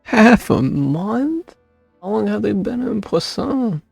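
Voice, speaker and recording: A streamer casually comments over a game. The voice is very creaky, mellow and slow. It is recorded close and dry with game music underneath. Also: medium pitch, very animated.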